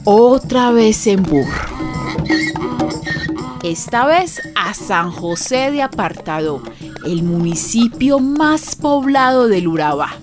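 An actress playing a neighbour and friend who narrates a radio drama in an intimate, conversational way. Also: female voice, on the high side, expressive. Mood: storytelling. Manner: conversational, deliberate. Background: music.

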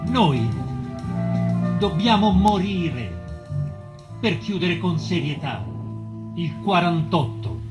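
A man's voice: high-pitched, exaggerated voice. A man acting a solemn, resolute patriot officer who reads out his letter in a grave, declamatory way on stage. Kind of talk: theatrical letter reading.

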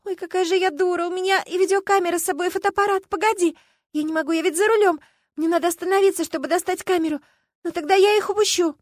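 A woman's voice: very high-pitched, fairly even intonation, quick pace, no echo, clean studio sound, pitched above the performer's own voice. A woman voicing a panicked, crying woman who talks in a frantic, tearful rush, in an audiobook. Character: panicked sobbing woman chasing a car. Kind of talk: audiobook character voice.

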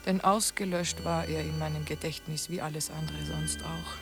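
An actress playing a withered, deranged wife in a radio drama, speaking a haunted monologue in measured, sometimes hushed phrases. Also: female voice, low voice, wildly swooping pitch, over music, heavily acted. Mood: haunted, resigned.

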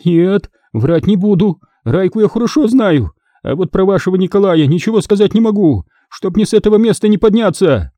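A man's voice: sits high, animated, brisk, hardly any pauses, warm tone, dry recording, pitched above the performer's own voice. A man voicing a frightened, wheedling older woman crook in an audiobook, wailing and flustered as she pleads. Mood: flustered, submissive.

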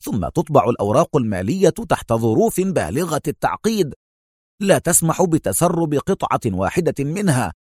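A man's voice: on the high side, big pitch swings, brisk, darkish tone, slightly rough, no room sound, clean studio sound, pitched above the performer's own voice. A man playing a stern, sceptical consul in a dramatic audio story, with a firm, emphatic and authoritative way of talking.

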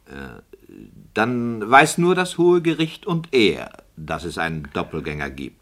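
An actor playing a questioning, indignant director in a radio play, speaking in a formal and sceptical manner. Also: male voice, fairly low pitch, wildly swooping pitch, unhurried, fairly bright tone, heavily acted. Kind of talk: 1960 German radio drama dialogue.

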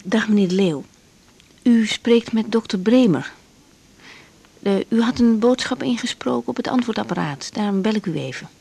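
A woman's voice: on the high side, moderate pace, vocal fry, mellow, slightly rough. An actress playing a young woman doctor, composed, polite and slightly hesitant, in a naturalistic radio drama. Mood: polite, accommodating. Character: young female doctor, tactful, accommodating, composed.

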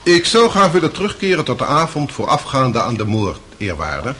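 An actor playing a stern public prosecutor in a radio drama, formal, sarcastic and insinuating. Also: male voice, mid-range voice, wildly swooping pitch, normal speed, a bit bright, slight background noise. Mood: smooth, insinuating.

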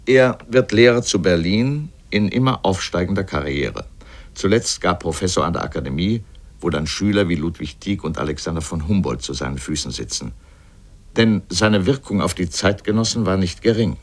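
Deep-voiced, ironic literary narrator. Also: male voice, animated. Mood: sardonic, pointed. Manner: deliberate, pointed, ironic. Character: erudite male literary narrator, sardonic and opinionated. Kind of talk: scripted literary radio essay.

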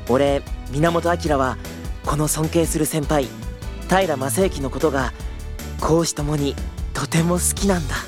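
A man's voice: on the high side, measured pace, over music, close to an everyday voice. A young man in his twenties voicing an earnest new trainee who narrates and answers politely, a little flustered, in an audio drama. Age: 20s. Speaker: trainee tour guide, narrator and lead.

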